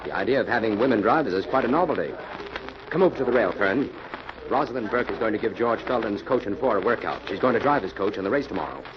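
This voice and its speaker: Actor as a brisk, assured radio detective hero. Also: male voice, lowish voice, animated, close to an everyday voice. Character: assured male amateur detective, a cultivated biochemist. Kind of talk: 1940s radio drama acting. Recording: noisy, muffled old radio recording.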